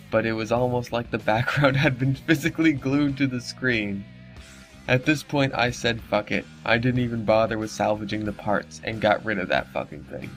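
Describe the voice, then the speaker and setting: A man's voice: mid-range voice, a bit bright. A man reading a story in a mock-dramatic way, breaking into casual, sarcastic and exasperated commentary. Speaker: narrator reading and mocking a horror story. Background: background music under the voice.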